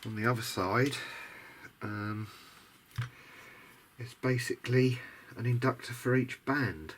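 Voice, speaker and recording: A man's voice: medium pitch, steady tone, takes it slowly. A man giving casual, unscripted commentary as he works at a bench, musing and tentative as he thinks aloud like a hobbyist making a video diary. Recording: noticeable background noise.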